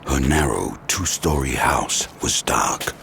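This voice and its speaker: A man's voice: very deep voice, animated, slow pace, gravelly, very noisy, full character voice. A male narrator telling a suspenseful horror audio drama in a grave, dramatic, ominous manner. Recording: loud music under the narration.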